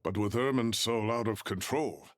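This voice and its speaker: An actor playing a learned, anxious male researcher in a video game, expressive and scholarly in his phrasing. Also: lowish voice, normal speed, no echo, clean studio sound, natural voice. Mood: urgent. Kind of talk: scripted video game voice acting. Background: background music.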